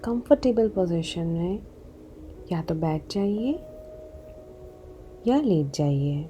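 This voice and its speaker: A woman leading a guided meditation in a soothing, unhurried manner, leaving long pauses between short instructions. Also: fairly low pitch, lively intonation, dark tone, a little hiss or hum. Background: background music.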